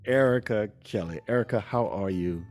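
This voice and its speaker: A man's voice: fairly low pitch, moderate pace, darkish tone. Male podcast host with faint music behind. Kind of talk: conversational podcast interview.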